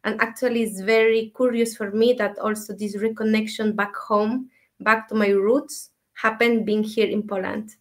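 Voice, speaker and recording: A woman speaks softly and thoughtfully. Her voice sits fairly high, but her pitch hardly rises or falls, and she keeps her level tone. She often hesitates as she tells her story in an interview. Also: flat delivery, normal speed. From Ecuador. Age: 30s. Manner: soft, reflective, many hesitations. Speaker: guest, dream mentor.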